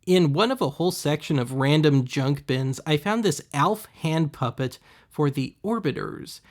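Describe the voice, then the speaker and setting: A man hosting a web series, giving casual, deadpan, wry voice-over commentary on thrift finds. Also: a little high, moderate pace. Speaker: host of a web video series.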